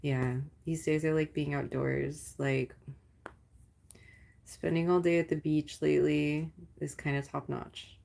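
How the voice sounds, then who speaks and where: A young adult woman with a deep, gravelly low voice chats with her livestream viewers. She rambles, swears casually and fills her talk with "like" while telling stories. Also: little pitch movement, unhurried, dark tone. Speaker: livestream host.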